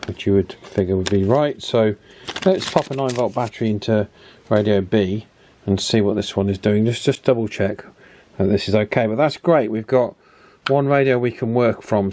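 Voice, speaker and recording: A man giving a casual hands-on repair demonstration as host, unhurried and thinking aloud through each step. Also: lowish voice, darkish tone. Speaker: host of a radio repair channel.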